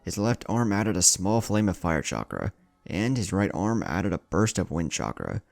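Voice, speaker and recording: A man's voice: low-pitched, sing-song, moderate pace, vocal fry, dry recording. A man narrating an anime fan story in a dramatic scripted style.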